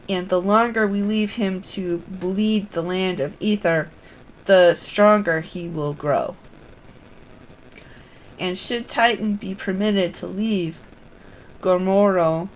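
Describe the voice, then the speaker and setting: A woman with a creaky voice live-streaming a game, speaking casually as she plays. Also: mid-range voice, slight background noise, rough recording. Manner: thinks aloud in short fragments. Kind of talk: live game stream, reading quest dialogue aloud and muttering casually.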